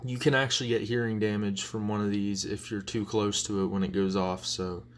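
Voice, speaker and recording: A man's voice: fairly low pitch, flat delivery, brisk, a little hiss or hum. A young man giving a casual show-and-tell as a collector, rambling along in a blunt, self-deprecating way. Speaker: collector presenting weather radios.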